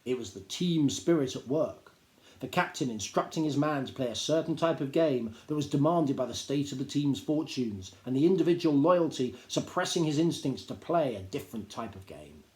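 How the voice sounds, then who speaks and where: A man reading literary prose aloud in a measured, drily humorous storytelling manner. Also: mid-range voice, lively intonation, darkish tone. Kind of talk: expressive literary narration. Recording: roomy, echoing indoor sound.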